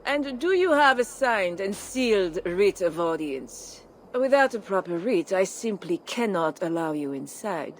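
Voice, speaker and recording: Haughty noblewoman, mid-pitched. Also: sing-song, unhurried, slight background noise. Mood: suspicious, haughty. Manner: formal, condescending. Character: haughty, suspicious noblewoman, count's wife. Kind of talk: dramatic video game acting.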